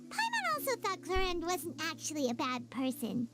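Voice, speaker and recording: Squeaky, very high-pitched fairy companion. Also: female voice, wildly swooping pitch, moderate pace, heavy background noise, exaggerated voice. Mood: cheerful, eager. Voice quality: squeaky, childlike. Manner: speaks of self in third person, exclaiming. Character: tiny childlike female fairy companion, chirpy and eager. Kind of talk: anime game voice acting. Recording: music playing behind the voice.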